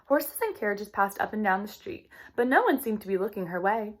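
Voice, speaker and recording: A woman reading a children's book aloud in an expressive storytime style. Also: medium pitch, brisk. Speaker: storytime reader.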